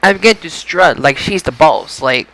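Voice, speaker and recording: A man's voice: fairly high pitch, lively intonation, moderate pace, sharp tone, no echo, poor audio. A man hosting a casual podcast about wrestling results, speaking emphatically and often repeating and stumbling over phrases. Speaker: podcast host.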